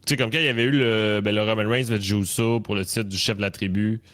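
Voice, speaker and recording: A man's voice: lowish voice, steady tone, fast, a bit bright. A man with a Canadian French accent co-hosting a casual live wrestling talk stream, often repeating words as he builds his point. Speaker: wrestling talk show co-host.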